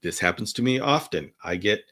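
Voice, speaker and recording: A man hosts a live question session, answering viewers in a loose, enthusiastic ramble full of false starts. His tone is bright and forward, though his voice sounds worn and is starting to go hoarse. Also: on the low side, animated, fairly quick. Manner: enthusiastic, rambling with frequent restarts. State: voice going hoarse. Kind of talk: live Q&A chat.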